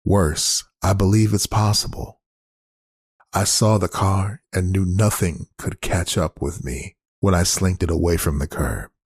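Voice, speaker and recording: A man reading a poem aloud in a measured, deliberate literary style. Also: low-pitched, steady tone, slightly rough, no room sound, clean studio sound. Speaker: poetry reader.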